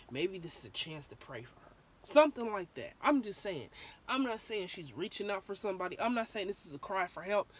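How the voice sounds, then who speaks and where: Hoarse young woman rambling candidly. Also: medium pitch. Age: young adult. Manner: candid and rambling, with many ums and restarts. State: sore throat, slightly hoarse. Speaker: vlogger. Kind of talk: casual opinion vlog.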